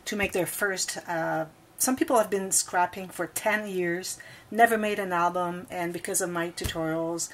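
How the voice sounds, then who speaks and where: A woman's voice: lowish voice, fairly even intonation, normal speed, a bit bright. A woman from Montreal with a Quebec French accent, a native French speaker, chatting casually through a scrapbooking tutorial with many fillers and restarts, recorded indoors at a table by the camera. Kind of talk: casual craft tutorial talk.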